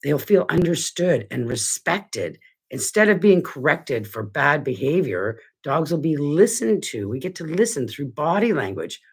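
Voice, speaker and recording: A man's voice: a little high, very animated, measured pace, vocal fry, breathy edge. A middle-aged man, a dog trainer, talking earnestly to camera from his living room, stuffy and congested, rambling with restarts. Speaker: dog trainer hosting a weekly show. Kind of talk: casual talk to camera.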